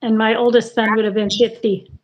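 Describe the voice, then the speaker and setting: A woman in her sixties, a guest on a casual livestream chat, talking in an unhurried, rambling way. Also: on the high side, flat delivery, slight background noise. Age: 60s. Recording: dull, lo-fi call audio.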